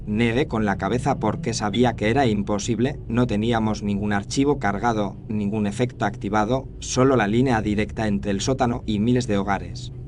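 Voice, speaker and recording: A man narrates a scripted horror tale in the first person. His voice is on the low side, with faint noise and ambience behind it. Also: fairly even intonation, a little fast, few pauses.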